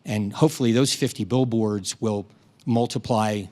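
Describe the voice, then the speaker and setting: A man who teaches eighth graders, speaking on a town hall panel in a measured, anecdotal way with frequent restarts. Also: lowish voice, fairly even intonation, mellow.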